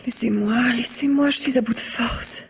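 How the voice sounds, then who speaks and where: An actress playing a worn-out, bitter Broadway star in a radio mystery, speaking with weary fatalism. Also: female voice, a little high, normal speed, creaky, noisy background. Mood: exhausted, distraught. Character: exhausted, bitter drug-addicted Broadway starlet. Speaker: actress in a radio crime drama. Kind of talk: French radio mystery acting.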